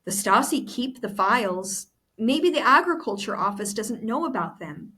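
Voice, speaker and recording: A woman's voice: a little high, lively intonation, normal speed, pushed and bright, husky, exaggerated voice. A woman reading the part of an optimistic, teasing older brother in a storybook, reassuring and upbeat.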